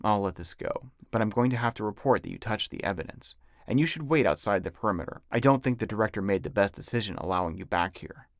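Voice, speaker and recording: A man's voice: lowish voice, expressive, quick pace, hardly any pauses, dry recording, studio quality. A man reading an audiobook as a stern, suspicious male agent with a curt, authoritative manner. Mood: weary, softened.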